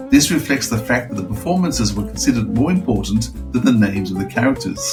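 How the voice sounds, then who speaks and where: A man co-hosting a review podcast, moving between reading out notes and chatting casually. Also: fairly low pitch. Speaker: podcast co-host.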